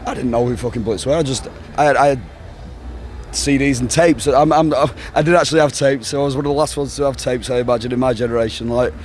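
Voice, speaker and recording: A man's voice: medium pitch, fairly even intonation, fast talker, darkish tone. A man in his twenties with a Northern English accent, chatting casually in an interview in a rambling, sweary way. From England. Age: 20s. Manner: sweary, rambling, peppered with "you know, like". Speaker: band member being interviewed. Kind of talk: casual chat. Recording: outdoor recording with urban ambient noise.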